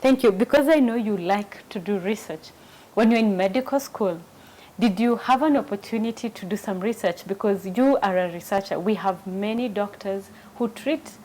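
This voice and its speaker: A woman's voice: mid-range voice, normal speed, a little mellow, low-quality recording. Attentive woman hosting a health interview. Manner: attentive, frequent affirming murmurs. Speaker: host. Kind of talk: interviewing, health talk show.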